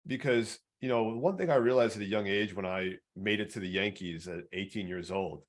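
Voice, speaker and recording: An earnest male podcast host with a low baritone voice shares a personal story. He restarts often and repeats words as he gathers his thoughts. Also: normal speed.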